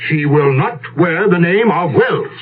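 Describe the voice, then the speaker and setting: A stern older English lord, imperious and gruff, his pitch swinging widely with emphasis. It sounds like a tinny, narrow old radio play. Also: expressive, measured pace, faint music behind. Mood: outraged. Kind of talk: period radio drama acting.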